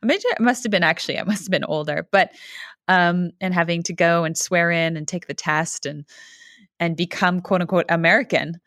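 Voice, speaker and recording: A woman in her thirties with a lowish voice, talking in a reflective, thoughtful way as a podcast guest. She fills pauses with "um" and small laughs. The sound is close and dry. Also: fairly bright tone, no echo. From Canada. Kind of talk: conversational interview.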